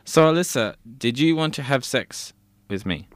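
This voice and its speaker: A man's voice: medium pitch, expressive, moderate pace, no echo. A man in a comedy podcast, playing a flustered character in an improvised sketch and stammering through his lines.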